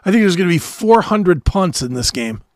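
A man's voice: high voice, big pitch swings, moderate pace, no room sound, studio quality. A middle-aged man co-hosting a talk show, bantering casually about sports in a blunt, argumentative, opinionated way.

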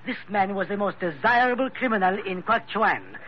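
An actor playing an effusive, flattering colonial police officer with a French accent in an old radio adventure, speaking in flowery formal phrases. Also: male voice, high voice, normal speed. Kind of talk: 1940s-50s radio adventure acting. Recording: dull old radio recording, faint noise.

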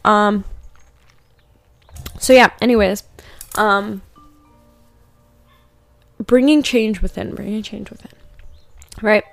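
A young woman hosting a casual spiritual chat, rambling with many fillers and slowing down to read out affirmations. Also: medium pitch, steady tone, creaky, dry recording. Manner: rambling, filler-heavy, laughs often, slows down for affirmations. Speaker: podcast host doing card readings. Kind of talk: casual spiritual chat with tarot-style readings.

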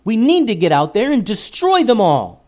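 A man's voice: on the high side, sing-song, a little slow, a little mellow, pitched above the performer's own voice. A man reading a storybook aloud and voicing an eager young boy who blurts and stammers. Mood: angry. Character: brave excitable young boy.

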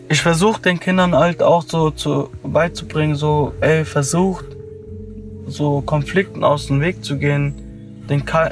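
A young man from an Afghan family speaking bluntly and emotionally in a casual conversation while riding in a car. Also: fairly high pitch. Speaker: interviewee. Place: in a car at night.

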